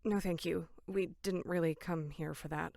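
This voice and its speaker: A woman's voice: on the low side, steady tone, normal speed, gravelly, a little mellow, dry recording, clean studio sound. A woman playing an earnest, serious young female camp counselor in a horror audio drama, polite but insistent.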